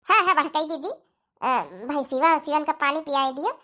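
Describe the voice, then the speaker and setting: A chatty, obliging cartoon daughter-in-law from a village household, voiced by a woman. Her voice is extremely high and sharply bright. She talks in a homely, drawn-out Awadhi accent. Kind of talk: cartoon comedy dialogue in rural dialect.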